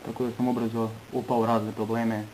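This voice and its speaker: A man from Serbia giving an earnest personal testimony in short phrases, pausing between them for an interpreter. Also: medium pitch, little pitch movement, a little mellow, slight background noise, poor audio.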